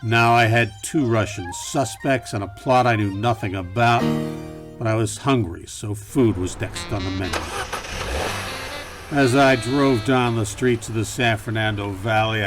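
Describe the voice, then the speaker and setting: A man performs a world-weary private eye from a campy noir radio play. He speaks at a middling pitch in measured, deadpan phrases. Music plays behind his voice. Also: close to an everyday voice. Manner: deadpan, measured hard-boiled storytelling. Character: hard-boiled male private detective narrating his case, polite, world-weary.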